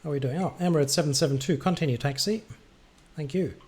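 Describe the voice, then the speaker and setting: A man's voice: fairly high pitch, quick pace, a little mellow, husky. A man streaming as an air traffic controller, giving clipped procedural commands mixed with casual muttered asides.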